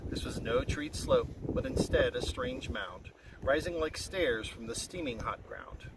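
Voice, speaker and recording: A man reading a rhyming children's story aloud in an expressive, singsong way. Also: high voice, unhurried, vocal fry. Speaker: storybook reader. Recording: very noisy, windy, distant-mic recording.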